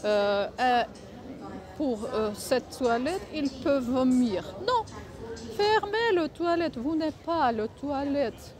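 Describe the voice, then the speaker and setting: A woman speaking French that is not her native language, giving casual advice in a vlog, halting often to search for words. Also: high-pitched, lively intonation, crowd noise. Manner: halting, many filler pauses, searching for words. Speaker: vlogger.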